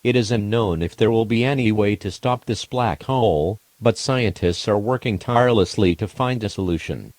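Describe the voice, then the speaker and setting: A man voicing a formal emergency alert announcer, reading scripted warnings in a measured, impersonal, official manner. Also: on the low side, a little mellow, no room sound, lightly acted. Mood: official, grave.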